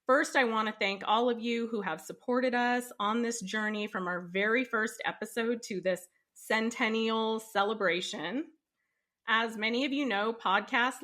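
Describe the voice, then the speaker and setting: Stuffy-nosed woman podcast host, chatty, tells stories with lots of energy. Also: mid-range voice. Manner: animated storytelling. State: congested from a cold. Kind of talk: casual podcast chat.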